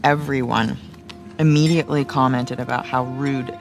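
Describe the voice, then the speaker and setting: An actor as casual frank journalist, gravelly fry, medium pitch, video game dialogue, clear background music. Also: steady tone, normal speed, natural voice. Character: frank, casual magazine journalist talking shop.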